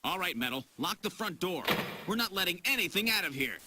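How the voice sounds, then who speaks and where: A man's voice: high-pitched, sing-song, brisk, very noisy. A man playing a wisecracking, flirtatious detective in a video game, casual and wry, hesitating when flustered. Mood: wary, alert. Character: wisecracking, flirtatious male detective hunting killer androids.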